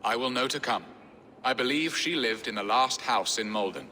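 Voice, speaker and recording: A man's voice: mid-range voice, moderate pace, pushed and bright, slight background noise, lightly acted. An actor playing a wry, middle-aged nobleman in a video game, with a theatrical, sardonic, flowery way of speaking. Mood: wistful, wry. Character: wry, florid middle-aged English nobleman, weary husband. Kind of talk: video game cutscene acting. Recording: long hall reverb, music behind.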